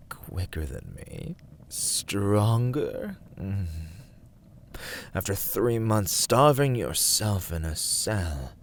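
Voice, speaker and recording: A proud, menacing demonic warlord in an ASMR villain roleplay. His low voice is slow and deliberate, grandly commanding, and often sinks toward a breathy whisper. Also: a little hiss or hum. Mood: menacing, taunting.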